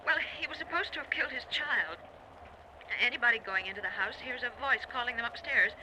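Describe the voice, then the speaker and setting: An actress playing an anxious wife who pleads haltingly with her husband in an old-time radio drama. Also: a little high, close to an everyday voice. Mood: anxious, pleading. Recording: noisy old radio recording.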